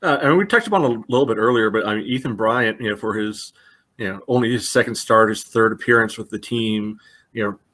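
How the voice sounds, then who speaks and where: A man's voice: on the low side, quick pace, fairly bright tone. A man co-hosting a sports podcast, analyzing soccer casually in a loose conversational way full of small asides.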